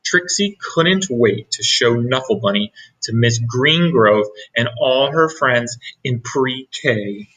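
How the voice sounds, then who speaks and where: Teacher guy reading a picture book aloud, unhurried, crisp bright voice. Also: mid-range voice, animated, low-quality recording. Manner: reads slowly with asides to young listeners. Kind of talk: read-aloud storytelling.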